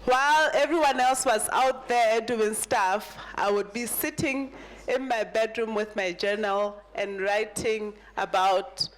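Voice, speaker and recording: A woman's voice: fairly high pitch, fairly even intonation, slow, low-quality recording. Emphatic woman telling a motivational story. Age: 30s. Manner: emphatic, repeats phrases for effect. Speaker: keynote speaker at a summit. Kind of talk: motivational personal story talk.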